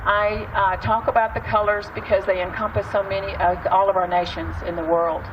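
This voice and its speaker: A woman with an East Texas accent, a storyteller giving an earnest, halting prayer and talk with many restarts. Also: mid-range voice, little pitch movement. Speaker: storyteller and speaker. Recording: distant muffled mic, loud traffic outside.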